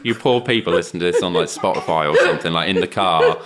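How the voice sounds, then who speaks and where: A woman with a deep, monotone voice, co-hosting a casual chat podcast in a cold barn, laughing often and rambling. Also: fast, heavy background noise. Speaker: podcast co-host.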